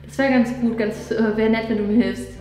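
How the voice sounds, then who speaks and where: Higher-voiced young woman vlogging. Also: fairly even intonation, a little fast, dark tone, rough recording. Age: young adult. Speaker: vlog host. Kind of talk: casual vlog talk to camera, prank challenge. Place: parked car, small tiled toilet, small studio room. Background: background music.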